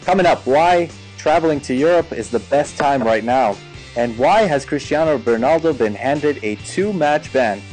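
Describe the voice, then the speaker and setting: Male news anchor, medium pitch, scripted tease over soft music bed. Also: animated, moderate pace, low-quality recording.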